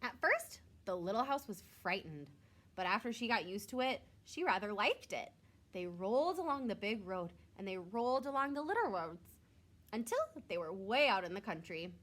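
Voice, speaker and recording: A woman reading a picture book aloud to young children, expressively, drawing out words for emphasis like a teacher. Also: mid-range voice. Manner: expressive, with drawn-out emphasis and questions to listeners.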